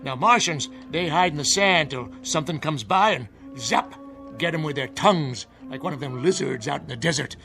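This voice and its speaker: A man's voice: on the high side, moderate pace, creaky, bright, forceful tone. An actor playing an eccentric old American man in a radio drama, folksy, rambling and suspicious. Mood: rambling, knowing. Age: older. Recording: music bed under the dialogue.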